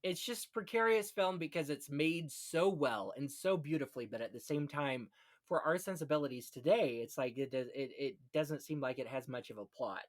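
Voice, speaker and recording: A man's voice: fairly high pitch, moderate pace. A man hosting a film podcast, talking casually and chattily with little asides. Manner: chatty, punctuates talk with "you know" asides. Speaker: podcast host. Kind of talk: casual chat about classic film.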